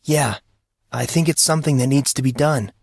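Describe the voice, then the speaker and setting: A man plays a teenage schoolboy in an audiobook, stubborn but uneasy. He talks casually and a little hesitantly, in a pitch nudged upward, recorded dry. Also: moderate pace, creaky, a little mellow, breathy edge, dry recording. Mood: resolute. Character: stubborn, uneasy fourteen-year-old schoolboy. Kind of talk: audiobook character dialogue.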